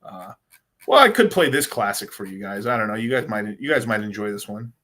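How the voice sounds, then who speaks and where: A man's voice: fairly low pitch, lively intonation, a little fast, fairly bright tone, a little hiss or hum. A man hosting a casual crypto livestream, rambling in slangy talk and calling his viewers "bro.".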